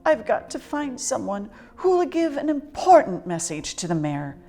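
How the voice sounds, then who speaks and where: A woman voicing a gentle, earnest male alligator in a children's storybook, courteous and eager. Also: a little high, sing-song, measured pace, soft background music, voice pushed up. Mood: earnest, pleading. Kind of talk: children's storybook character reading.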